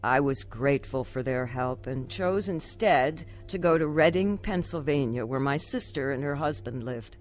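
A woman's voice: very deep voice, animated, normal speed, hardly any pauses, over music. An actress playing a troubled wife and mother who tells her life story in an earnest, confessional radio drama style. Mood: regretful. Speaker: lead actress narrating. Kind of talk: radio drama first-person narration.

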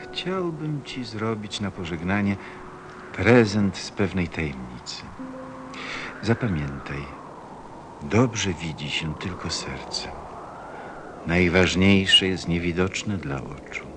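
A man playing a gentle, wistful fox who craves friendship, speaking earnestly and tenderly in an audio play. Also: low voice, expressive, noisy background. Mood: tender, solemn. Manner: slow, earnest, tender delivery. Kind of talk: Polish-language audio play acting.

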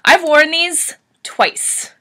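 Bright-voiced woman with a cold. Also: high voice, lively intonation, extremely slow, a little hiss or hum. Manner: chatty, bubbly, gushing over products. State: sore throat from a cold. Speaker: beauty blogger. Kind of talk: casual product haul video.

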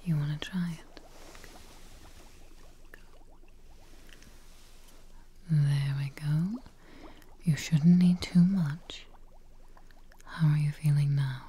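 Woman as a whispering, soothing witch, low and dark. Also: slight background noise. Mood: soothing concern. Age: young adult. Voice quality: breathy, whispery, very soft. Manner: slow, gentle, reassuring, caring. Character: kindly, soothing witch receptionist tending a guest. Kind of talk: soft-spoken ASMR role play. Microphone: close ASMR microphone.

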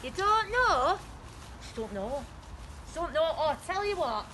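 A woman's voice: sits high, big pitch swings, unhurried, background noise. A woman playing a bossy, gleeful ugly stepsister in a school pantomime, with a broad put-on accent. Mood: scheming. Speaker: school senior leader in a pantomime.

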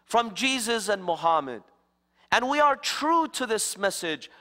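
A middle-aged man preaching in a large church sanctuary, his high, bright voice ringing out with long reverb around it. He speaks slowly and emphatically, with dramatic pauses. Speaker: guest preacher. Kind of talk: interfaith sermon. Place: large church sanctuary, standing before the congregation.

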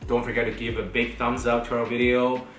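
A man's voice: mid-range voice, a little fast. A man in his thirties whose first language is Mandarin, chatting casually on a couch as co-host, with many fillers and restarts. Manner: many fillers and restarts, animated hand gestures. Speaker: co-host of a couple's channel. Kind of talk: casual Q&A chat. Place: bright living room, sitting on a couch. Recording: roomy, distant sound with background music.

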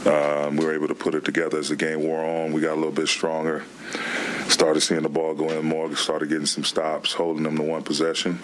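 A middle-aged man, a basketball head coach, answering questions at a postgame press conference in a plainspoken, relaxed way. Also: rumbling low, a little fast, a little hiss or hum. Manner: relaxed, plainspoken, frequent "man" and "you know". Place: press room before a team backdrop. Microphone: table microphone.

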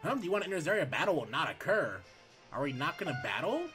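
A man hosting a let's play, reading game text aloud and chatting casually while his voice is going hoarse. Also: fairly high pitch, quick pace, faint music behind. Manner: reads on-screen text aloud, relaxed asides. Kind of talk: casual gameplay commentary.

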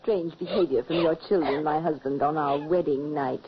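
An actress playing a poised, sinister young huntsman's daughter, deliberate and theatrical, in 1940s radio drama. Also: low-pitched. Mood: cold, controlling. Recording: noisy muffled 1940s radio recording.

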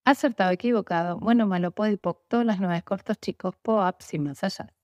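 A young woman, as a facilitator, reciting repetitive clearing statements in a steady, rhythmic way. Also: mid-range voice, a little fast, dry recording, studio quality.

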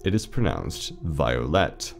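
Surreal audio drama announcer, acted by a man: extremely deep and creaky, eerily soothing, deadpan delivery over music. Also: takes it slowly, heavily acted. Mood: deadpan announcing. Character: eerily calm, reassuring male announcer of a surreal cult-like broadcast.

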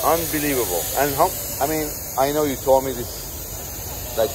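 A man who owns a tool company, chatting casually with an exhibitor and often pausing to search for words. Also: medium pitch, noisy background. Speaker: tool company owner and host. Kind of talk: casual trade show interview. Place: busy trade show hall.

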